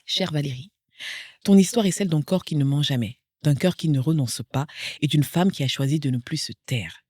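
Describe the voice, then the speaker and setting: A woman's voice: sits low, a little fast, no room sound, studio quality. A woman in her forties hosting a podcast interview, reading a poetic introduction before chatting with her guest. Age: 40s. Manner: reads a lyrical, poetic introduction, then chats warmly. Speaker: podcast host.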